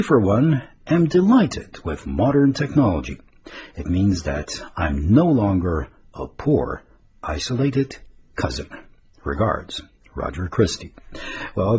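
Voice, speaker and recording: A man's voice: deep voice, darkish tone. A man presenting radio listener mail in a chatty, hesitant, meandering way, adding asides as he reads. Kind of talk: informal listener-mail segment, reading and commenting. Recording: dull lo-fi radio recording, faint noise.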